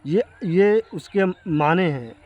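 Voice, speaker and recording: A man with an Indian accent giving an earnest explanation to viewers, speaking haltingly and repeating himself. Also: fairly high pitch, expressive, lo-fi sound, faint music behind. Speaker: video creator addressing viewers. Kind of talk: explanatory talk to camera.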